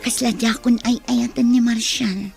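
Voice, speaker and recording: Young female student, high voice, pensive and evasive, audio drama romance role. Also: steady tone, moderate pace, creaky, music in the background. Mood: troubled, resigned. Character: young female student, troubled and evasive about fading love. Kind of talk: Filipino radio drama acting.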